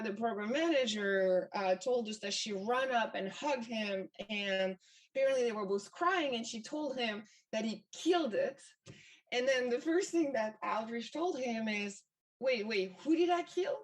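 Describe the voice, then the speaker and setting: A woman's voice: on the high side, far from the mic. French-accented woman giving a keynote. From France. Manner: frequent fillers and repeated words, storytelling. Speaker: event speaker. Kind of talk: motivational keynote storytelling.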